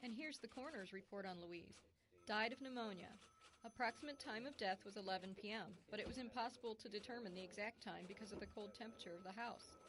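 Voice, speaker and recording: A woman playing a brisk, youngish small-town police chief in an audio drama, matter-of-fact and dryly wry. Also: medium pitch, a crowd in the background, natural voice. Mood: businesslike.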